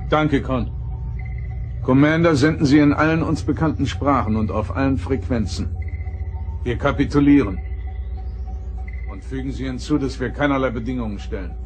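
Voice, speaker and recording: A man's voice: medium pitch, moderate pace, pauses often, over music, lightly acted, rough recording. An actor playing a resolute male starship captain in a German science fiction radio drama, giving clipped, authoritative orders. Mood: grave.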